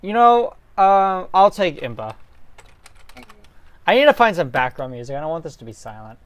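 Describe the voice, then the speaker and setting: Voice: medium pitch, sing-song, moderate pace, clear, resonant tone, muffled recording. A co-host chatting casually and explaining things in an easygoing way while organizing a story reading. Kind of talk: casual chat.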